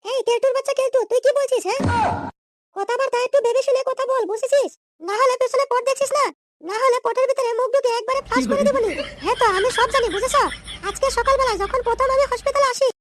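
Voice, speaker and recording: A man plays a scheming, quarrelsome young cartoon woman with an extremely high, squeaky voice. She scolds and threatens in slangy bursts at a racing pace, in a dry recording with no room sound. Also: steady tone, quick pace, fairly bright tone, no room sound. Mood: heated. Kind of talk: comedy cartoon dialogue.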